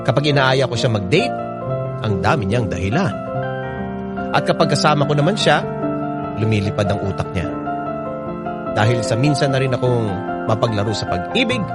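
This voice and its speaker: A man's voice: mid-range voice, big pitch swings, moderate pace, pauses often, close to an everyday voice. An actor narrating in first person as a young man recounting a painful love affair, confiding directly to the listeners. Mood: rueful. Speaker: narrator telling his own story. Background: loud music.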